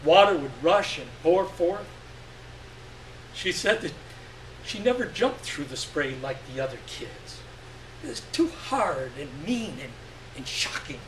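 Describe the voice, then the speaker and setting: A man giving an expressive live reading of literary fiction, with deliberate phrasing and dramatic pauses. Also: on the high side. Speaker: story reader. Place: live reading event indoors. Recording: echoey room, distant mic, faint hum.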